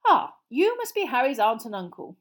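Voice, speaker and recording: A woman voicing an amiable middle-aged wizard father for a storybook, vague, excitable and apologetic. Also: on the high side, normal speed, voice pushed up. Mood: friendly.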